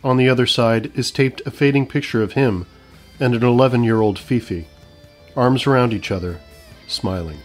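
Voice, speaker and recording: A man's voice: mid-range voice, moderate pace. A man reading scripted narration for a comedy audio drama. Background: music.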